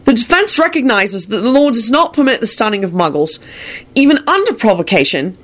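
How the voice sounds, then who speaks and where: A woman's voice: on the high side, sing-song, fairly quick, voice pushed up. A woman reading the part of an earnest, determined young man making an emphatic plea in an audiobook. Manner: emphatic, vehement.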